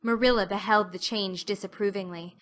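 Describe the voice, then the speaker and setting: A woman's voice: mid-range voice, fairly even intonation, a little fast, fairly bright tone. A woman reading scripted audiobook narration of a story as its narrator.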